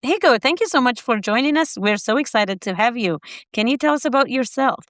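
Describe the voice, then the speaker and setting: A woman co-hosting a podcast who asks the guest curious, animated questions. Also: on the high side, brisk, no echo, studio quality. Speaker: podcast co-host. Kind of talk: casual interview questions.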